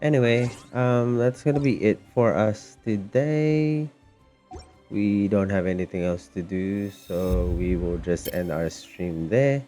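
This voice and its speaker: A man giving casual, low-key, hesitant livestream commentary while he plays a video game. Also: slow pace, warm tone. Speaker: game streamer. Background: game music.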